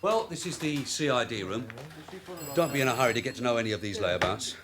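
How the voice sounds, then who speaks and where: A man's voice: medium pitch, lively intonation, fast talker, crowd noise, lightly acted. A man playing a streetwise, long-serving detective sergeant in a radio drama, offhand and wry. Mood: wry, matter-of-fact. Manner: offhand, wry, matter-of-fact. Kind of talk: British radio drama acting.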